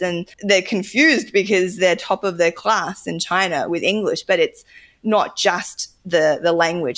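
A woman's voice: on the low side, a bit bright. A young woman talking thoughtfully as a podcast guest, with lots of fillers and light laughs. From Australia. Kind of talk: casual podcast chat.

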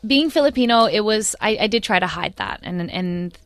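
A woman's voice: mid-range voice, animated, normal speed, fairly bright tone, no room sound. A young woman from Australia with an Australian accent, talking in a casual interview as the guest, thoughtful, with many fillers and restarts.

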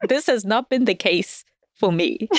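A woman's voice: fairly high pitch, no room sound, clean studio sound. A woman from Zimbabwe chatting candidly as a podcast guest, laughing often and hedging her opinions. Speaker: guest writer. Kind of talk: casual podcast chat.

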